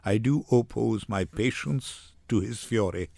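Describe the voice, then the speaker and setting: Stoic merchant , read by a man: resigned, solemn, lowish voice, rhetorical classical verse. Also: unhurried, vocal fry, mellow, no room sound. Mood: stoic resignation. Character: resigned, stoic merchant Antonio, bitterly patient. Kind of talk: Shakespearean dramatic reading.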